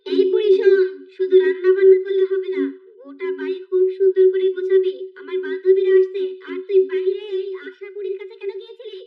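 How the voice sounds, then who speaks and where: A cruel young daughter-in-law from a moral cartoon, acted by a woman, scolds in an extremely high voice. She is bossy and menacing. The sound is thin and phone-like. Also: fairly even intonation, brisk, far from the mic. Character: cruel, bullying young daughters-in-law threatening an old mother-in-law. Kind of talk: children's moral-story cartoon dialogue. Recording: muffled, narrow phone-like sound.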